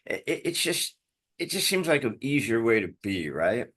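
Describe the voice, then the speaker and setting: A man's voice: medium pitch, sing-song, normal speed, fairly bright tone. A man hosting a podcast interview, talking in an enthusiastic, affirming way and often ending his points with a question. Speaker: podcast host. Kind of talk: conversational interview.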